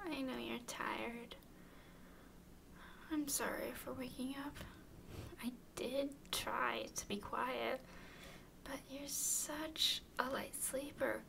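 A woman playing a tall, clingy, playfully teasing young woman smitten with her friend, in an intimate, softly whispered ASMR roleplay. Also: high voice, full character voice. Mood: tender. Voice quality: soft, breathy whispering. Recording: faint background noise.

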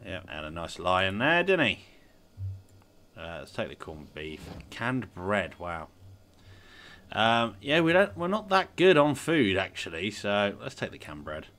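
Deep-voiced man, sniffly, thinking aloud. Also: huge pitch swings, unhurried, a bit bright. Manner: chatty, thinks aloud with many fillers. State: sniffly from a cold. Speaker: gameplay commentator. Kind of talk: casual let's-play commentary.

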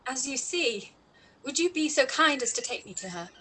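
A young woman playing a spirited, determined gentlewoman in a period radio play. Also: high voice, animated, normal speed, breathy edge, full character voice, poor audio. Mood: polite, firm. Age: young adult. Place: room at home, on a video call.

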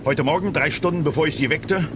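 An actor playing a grave, commanding army general with a clipped manner in a children's sci-fi radio play. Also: male voice, fairly high pitch, quick pace, natural voice. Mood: reluctant, grave. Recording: helicopter noise behind the voice. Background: helicopter noise.

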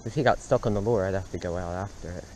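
A man's voice: sits low, fast talker. Young man mumbling on a fishing trip. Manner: relaxed, muttering asides to viewers. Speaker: fishing vlogger. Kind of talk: casual outdoor narration. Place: outdoors by a lake and river. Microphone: head-mounted action camera. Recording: noisy outdoor action-camera audio. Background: outdoor ambience.

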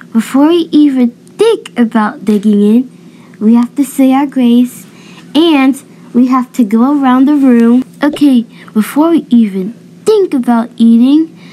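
A woman's voice: sits high, dark tone, low-quality recording. A woman narrating and voicing a homemade roleplay story as its creator.

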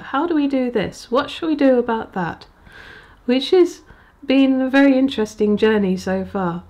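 A woman in her forties, a vicar, chatting to camera in a small room at home, with small halting restarts. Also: mid-range voice, a little mellow. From England. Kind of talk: casual vlog to camera. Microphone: handheld recorder near the camera.

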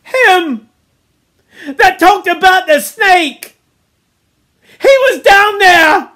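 An indignant young schoolboy, acted out by a woman reader, very high and loud, protesting in rough grammar. He whines and scolds with panic close to the surface, in a sharp, forceful tone made for a storybook. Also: drawn-out words, heavily acted. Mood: frantic distress. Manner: ungrammatical, shrill protesting tirade.

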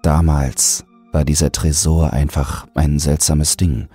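A man narrating a scripted horror story in a measured, deliberate, suspenseful manner. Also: a bass voice, flat delivery, slightly rough. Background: soft music.

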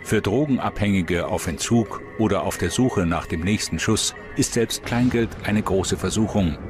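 A man's voice: on the low side, moderate pace. A man reading scripted true-crime documentary narration. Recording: voice over prominent background music. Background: music.

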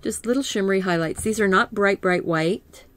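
A middle-aged woman teaching a live painting lesson in a calm, soothing voice, gently thinking aloud as she works. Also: medium pitch, no echo. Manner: slow, gentle, thinking aloud with small laughs. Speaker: art teacher.

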